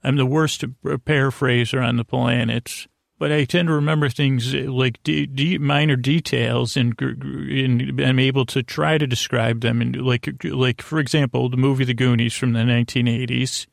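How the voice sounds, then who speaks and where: A middle-aged man hosting a bedtime podcast, rambling in a halting, stammering way with self-deprecating, gently silly asides and tangents. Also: mid-range voice, fairly even intonation, creaky, no room sound, studio quality. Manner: halting, stammering, repeats words and trails off into tangents. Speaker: podcast host.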